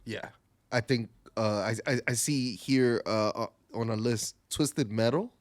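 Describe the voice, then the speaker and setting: A man's voice: mid-range voice, measured pace, no room sound. A man co-hosting a podcast, talking casually with the others. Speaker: podcast co-host. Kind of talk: casual chat.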